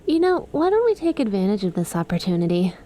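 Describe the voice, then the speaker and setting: A woman's voice: mid-range voice, very animated, quick pace, dark tone, a little hiss or hum, natural voice. A woman playing an affectionate young snake-girl best friend in an ASMR roleplay, teasing and chummy as she talks to the listener. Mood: upbeat, friendly.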